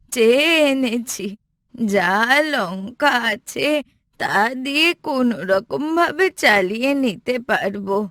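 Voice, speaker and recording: Casting: kindly old village grandmother played by a woman, unhurried and reassuring, fairly high voice, cartoon tale. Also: a bit bright, no echo, studio quality. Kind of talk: cartoon voice acting.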